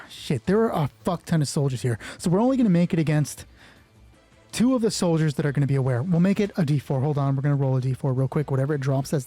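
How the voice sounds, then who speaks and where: A man improvising a solo tabletop roleplay as game master, excited, thinking aloud and swearing freely. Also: on the high side, husky. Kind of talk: improvised tabletop game narration with dice talk.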